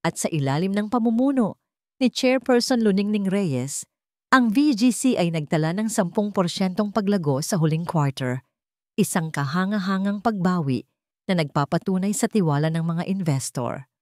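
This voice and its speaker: A woman's voice: mid-range voice, animated, moderate pace, darkish tone, no room sound, studio quality, lightly acted. A woman voicing a business news anchor who reads the report formally.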